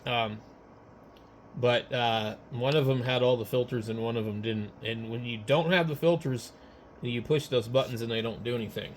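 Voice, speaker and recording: Halting man chatting over clear background noise. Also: medium pitch. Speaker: amateur radio operator. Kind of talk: casual technical chat.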